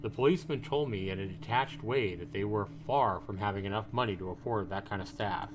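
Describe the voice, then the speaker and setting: A man's voice: lowish voice, a little fast, background noise, close to an everyday voice. A man voicing a distraught, defiant father as he reads a visual novel game. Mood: troubled, weary. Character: distraught, hot-tempered father, the game's suspected ex-husband.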